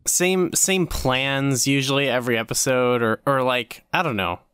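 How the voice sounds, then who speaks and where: A man's voice: mid-range voice, normal speed, fairly bright tone, clear, resonant tone, dry recording, clean studio sound. A man co-hosting a podcast in casual chat, laid-back and a little hesitant in how he talks. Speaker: podcast co-host.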